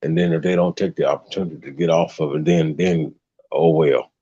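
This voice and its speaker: A man with a deep bass voice hosting a casual livestream chat, given to preachy rants. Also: steady tone, brisk, dark tone, slightly rough, lo-fi sound. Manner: ranting, preachy asides. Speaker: stream host.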